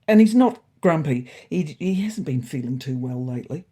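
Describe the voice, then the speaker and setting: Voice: mid-range voice, lively intonation, normal speed, warm tone, husky. A narrator as a laid-back, matey male cat. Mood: concerned. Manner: languid, matey.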